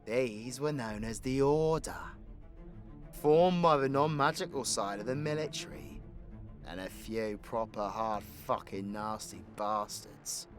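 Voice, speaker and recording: A man's voice: medium pitch, unhurried, soft background music, lightly acted. A British man playing a cocky, foul-mouthed supervillain in an audio roleplay, with a crude, playful, conversational swagger. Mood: wry storytelling. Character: cocky, foul-mouthed male supervillain, orphaned, teasing his drinking partner. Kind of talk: audio roleplay addressed to the listener.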